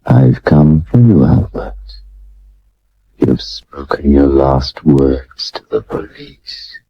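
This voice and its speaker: Menacing, very deep gravelly killer. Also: male voice, steady tone, exaggerated voice. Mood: cold menace. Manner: slow, deliberate, menacing. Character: menacing male assassin, slow and cold. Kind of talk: 1970s British radio drama acting. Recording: dull, lo-fi old radio broadcast.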